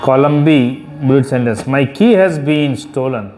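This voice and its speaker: A woman teaching English grammar, reading sentences slowly and clearly for a student to repeat. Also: very deep voice, dark tone. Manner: slow, clear dictation of sentences. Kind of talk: repeat-after-me grammar drill.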